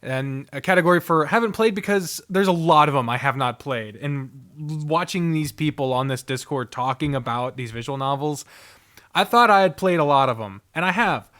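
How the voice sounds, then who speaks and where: Higher-pitched man, clear voice, casual commentary. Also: animated, normal speed, a bit bright. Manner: informal, frequent swearing; rambling, thinking aloud. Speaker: host.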